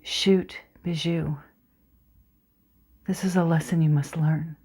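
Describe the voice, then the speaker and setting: A woman voicing a grave, gentle Kurdish father. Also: sits low, fairly even intonation, slow pace, slightly rough, exaggerated voice. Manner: soft, grave, teaching. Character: gentle but stern middle-aged Kurdish father, sniper.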